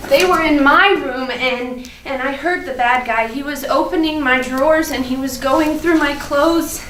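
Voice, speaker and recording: A woman playing an innocent, chatty but fearful first-grade girl in a theatrical monologue, halting and repeating her words. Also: high-pitched, a bit bright. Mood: frightened. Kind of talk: theatrical monologue at a staged reading. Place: indoor room at a live staged reading. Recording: roomy, distant, noisy live stage recording.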